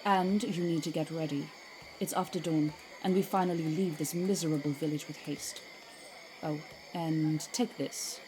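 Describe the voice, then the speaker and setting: A woman's voice: low voice, steady tone, moderate pace, darkish tone, slight background noise, lightly acted, poor audio. A woman playing a haughty, zealous drow priestess with formal, disdainful speech in a fantasy audio drama. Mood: disdainful, curt.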